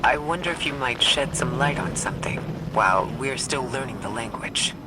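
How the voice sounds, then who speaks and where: An actor playing a grave, scholarly commander in a sci-fi game, speaking in formal, articulate sentences. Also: male voice, mid-range voice, expressive, lightly acted. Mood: curious. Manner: formal, measured wording, articulate. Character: grave, scholarly male commander, cautious and curious. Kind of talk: video game dialogue acting. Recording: loud ambient noise behind the voice.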